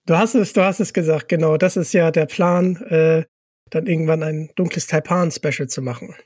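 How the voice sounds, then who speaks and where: A man with a high voice hosts a podcast in a casual, appreciative way. He addresses guest and listeners informally, and the sound is dry with little room in it. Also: steady tone, moderate pace, darkish tone, no room sound. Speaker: podcast host, interviewer.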